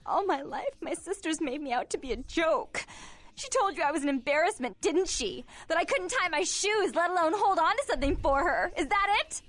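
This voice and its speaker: High-voiced, brazen vampire woman. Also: lively intonation, normal speed, a bit bright. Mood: hurt, resentful.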